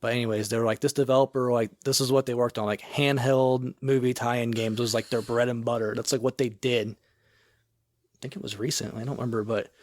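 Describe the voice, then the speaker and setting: A man's voice: medium pitch, fairly even intonation, fairly quick, darkish tone, dry recording. A young man in his twenties co-hosting a podcast, talking in casual, slangy, enthusiastic chat. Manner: enthusiastic, slangy, heavy use of "like". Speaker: podcast co-host.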